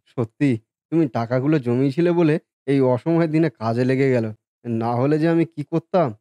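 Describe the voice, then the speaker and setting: A man's voice: mid-range voice, normal speed, dark tone, no echo, close to an everyday voice. A man voicing a kindly, earnest married brother and husband in a Bengali family cartoon. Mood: grateful.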